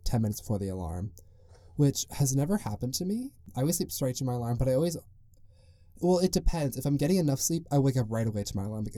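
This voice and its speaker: Young adult male podcast host, deep mellow tone, loose rambling delivery, dry close mic. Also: no room sound. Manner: rambling, loose and chatty, full of "like". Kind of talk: casual solo podcast monologue.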